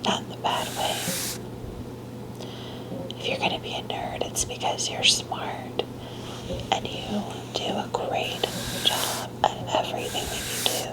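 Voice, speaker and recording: A woman's voice: unhurried, long pauses, low-quality recording. A woman whispering breathily in a soothing, tender, motherly ASMR roleplay, offering comforting reassurance. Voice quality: breathy, mostly unvoiced whisper. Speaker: ASMR performer playing a caring mother. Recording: soft music clearly behind the whisper. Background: music.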